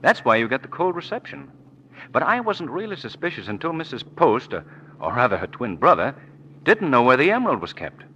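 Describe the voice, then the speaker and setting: An actor playing a confident gentleman detective in a 1940s radio drama. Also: mid-range voice, big pitch swings, brisk, highs cut off. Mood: amused, assured. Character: confident, keen-witted gentleman detective explaining a solved case. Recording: noisy old 1940s radio broadcast.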